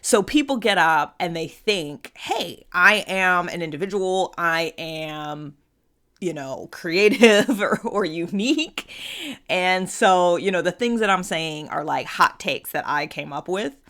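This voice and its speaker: Female host, slightly low voice, conversational and unscripted, explains ideas informally and slips into imagined quotes. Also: lively intonation, takes it slowly, fairly bright tone. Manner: conversational, checks in with "right?". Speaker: host of a personality typology show. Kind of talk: casual unscripted explainer monologue.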